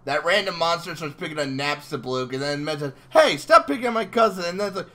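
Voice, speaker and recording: A man chatting casually as an interviewer, with an excitable, exclamatory way of talking. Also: a little high, quick pace. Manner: excitable, exclamatory, swearing emphatically. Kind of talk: casual fan interview chat.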